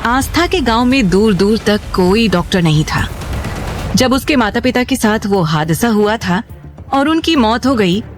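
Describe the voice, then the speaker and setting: A woman narrating a scripted, dramatic story as the storyteller of an audio series. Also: medium pitch. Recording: music bed under the voice.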